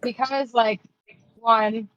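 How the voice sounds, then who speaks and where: A woman's voice: on the high side, fairly even intonation, drawn-out words, fairly bright tone, no room sound. A young woman co-hosting a podcast, talking casually with hesitant starts and a blunt, swearing edge. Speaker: podcast co-host. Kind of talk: casual chat. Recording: dry, dull lo-fi mic.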